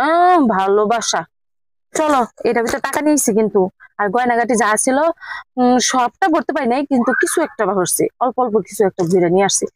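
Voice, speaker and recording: A woman acts out a sharp-tongued villager in a drama, nagging in a high voice at a rapid clip. She is blunt and bossy, with a Bangladeshi regional accent. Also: dry recording, low-quality recording.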